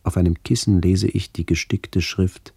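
An actor playing a reflective middle-aged man narrating a literary radio play in a measured, contemplative way. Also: sits low, little pitch movement, vocal fry, mellow, breathy edge, dry recording, full character voice. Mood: pensive. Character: reflective man visiting his ageing family.

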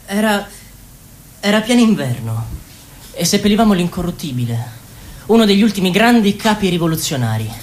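A woman playing a solemn party member who recounts the past haltingly in a radio drama. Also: mid-range voice, big pitch swings, a little mellow, lightly acted.

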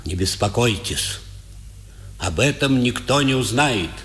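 An actor playing a prison warder who secretly helps inmates, guarded and deliberate, in a 1950s Soviet radio play. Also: male voice, mid-range voice, animated, breathy edge, heavily acted. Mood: guarded, confiding. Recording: old radio drama under loud music.

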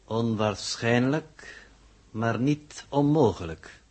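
A man's voice: medium pitch, a little mellow, husky. An actor playing a cold, unflappable impostor scientist in a 1960s radio drama, speaking formally and with smug superiority to his captors. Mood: smugly composed. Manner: formal, deliberate, smugly superior. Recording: muffled early 1960s radio recording.

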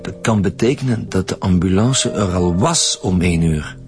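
An actor with a Flemish accent playing a blunt, matter-of-fact police commissioner in a radio drama. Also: male voice, low voice, lively intonation, moderate pace, loud music behind the voice. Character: male police commissioner, dry and sure.

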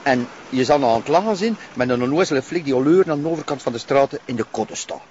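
A man plays a bored Flemish policeman on watch in a television crime drama. He complains in a deadpan, mid-pitched voice. The audio is a little muffled. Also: fast talker, close to an everyday voice. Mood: grumbling. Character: bored, grumbling male policeman on surveillance. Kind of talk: naturalistic TV crime drama acting. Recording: muffled sound with faint noise.

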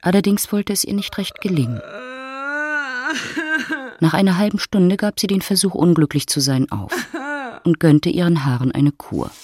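An actress playing a wry, composed storyteller, narrating an urban love story in a German radio play. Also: female voice, lowish voice, moderate pace, long pauses, a little mellow, husky, dry recording.

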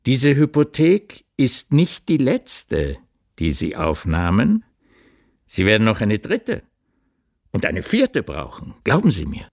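A stern older lawyer in a radio play, recorded close and dry. His voice is low and animated, bearing down with blunt, deliberate warnings. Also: vocal fry, dry recording, exaggerated voice. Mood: urgent, admonishing. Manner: emphatic, admonishing, deliberate.

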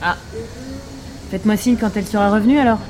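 A woman playing a sardonic, quick-witted bar regular who teases as she talks, in a naturalistic audio drama. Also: medium pitch, background noise, natural voice. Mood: teasing. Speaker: actor in a fiction podcast.

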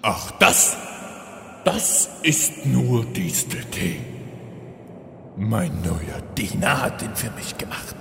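A man's voice: on the low side, expressive, takes it slowly, creaky, pauses often, music in the background, exaggerated voice. A man playing a theatrical undead arch-villain in a comic fantasy audio drama, hammy and comically domestic. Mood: smug, scheming. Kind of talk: German audio drama parody. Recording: echoey hall reverb, faint noise.

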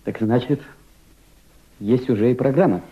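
Young ex-soldier in a Soviet radio play , male actor: husky, earnest, sceptical, dull archival sound. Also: medium pitch, close to an everyday voice. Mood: doubting. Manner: earnest, questioning, halting. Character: earnest, doubting twenty-five-year-old lame Red Army veteran. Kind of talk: Soviet-style radio drama acting.

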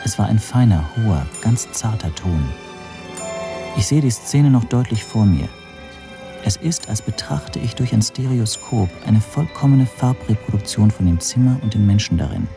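An actor narrating a radio drama as a rueful man recalling events in a measured, introspective way. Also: lowish voice, lively intonation, vocal fry, music in the background. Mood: reflective, rueful. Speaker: first-person narrator.

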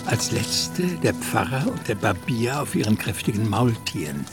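A man plays a scene-setting radio play narrator. His voice is on the low side and animated in pitch. He gives terse descriptions, with music clearly playing behind. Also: normal speed, close to an everyday voice. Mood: observant. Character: vivid present-tense narrator describing the scene.

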